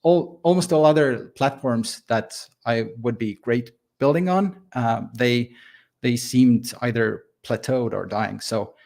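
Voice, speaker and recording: A man's voice: medium pitch, slow pace, gravelly, darkish tone. A man from Estonia with a light Estonian accent, speaking as a podcast guest in a casual, hesitant way with many fillers and restarts. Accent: Estonian, softened by living abroad. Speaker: podcast guest, software founder. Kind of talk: casual interview talk.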